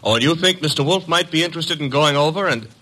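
An actor playing a wry, snappy detective's assistant who narrates in 1950s hard-boiled radio style. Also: male voice, a little high, fast talker, sharp tone. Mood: wry teasing. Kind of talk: 1950s radio drama acting and noir narration.

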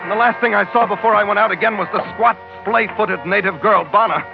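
A man's voice: high-pitched, fairly quick, gravelly. A man playing a tough American sea captain narrating a 1940s radio adventure in clipped, hard-boiled, dramatic fashion. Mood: urgent, intense. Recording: muffled old radio broadcast with music.

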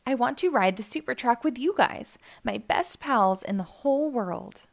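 A woman's voice: a little high, animated, normal speed, creaky. A woman voicing a proud, friendly male monster truck stunt racer with a keen manner in a children's storybook. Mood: affectionate. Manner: keen, exclaiming.

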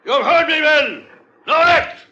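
An actor in an old radio drama playing a frightened, self-righteous sea captain, pleading and imperious like an orator. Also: male voice, very high voice, expressive, slow pace, bright, forceful tone, full character voice. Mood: desperate oratory. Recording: muffled vintage radio recording.

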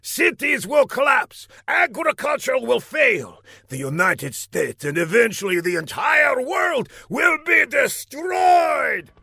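Comic audiobook villain: gravelly, piercing, theatrical megalomaniac, gleeful and easily exasperated. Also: male voice, extremely high pitch, lively intonation, slow pace, few pauses, sharp tone, no echo, raised voice, studio quality, voice pushed up, heavily acted. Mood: grandiose. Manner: theatrical, emphatic, exclaiming grandly.

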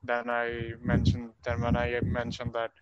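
A man's voice: mid-range voice, monotone, measured pace, highs cut off, crowd noise. A man from Pakistan talking hesitantly in a practice conversation, often repeating himself. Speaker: student.

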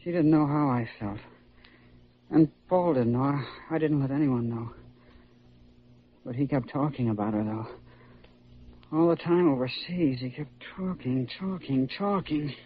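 An actor playing a guilt-ridden young war veteran under sedation, halting and repetitive, in a classic radio drama. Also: male voice, medium pitch, a little hiss or hum, natural voice. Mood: subdued, wistful. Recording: dull old radio recording with music.

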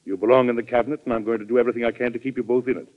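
A man's voice: mid-range voice, level tone, very fast, close to an everyday voice. An actor playing a weary but firm, fatherly American president in an old-time radio drama, speaking earnestly with authority and occasional hesitation. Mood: firm, persuasive. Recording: muffled vintage radio drama recording.

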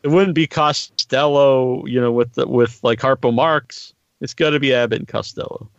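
A middle-aged man hosts a casual podcast with a rambling, halting delivery and a crude, joking streak. The sound is very dry and a bit muffled, like a lo-fi online stream. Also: mid-range voice, no echo. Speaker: podcast host.

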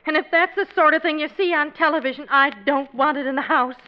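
A woman's voice: high-pitched, fast, natural voice. An actress playing a firm, exasperated middle-aged wife and mother in a 1940s radio sitcom. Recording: dull old radio recording.

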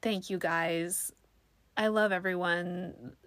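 A woman's voice: lowish voice, fairly even intonation, slow pace, fairly bright tone, no room sound. A young woman hosting her own podcast, talking casually with a soothing voice and many fillers. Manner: chatty, self-deprecating, lots of fillers. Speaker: podcast host. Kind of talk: casual solo podcast chat.

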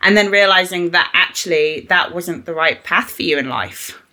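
A woman hosts a podcast conversation, her voice bright and forward. She asks friendly questions and backs her guest with short encouraging replies. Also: lowish voice, moderate pace. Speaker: podcast host. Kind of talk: interviewing a guest.

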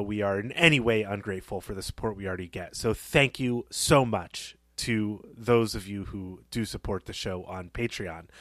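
A man co-hosting a podcast, discussing a story in a conversational, measured and analytical way. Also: mid-range voice, fairly bright tone, no echo. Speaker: podcast host.

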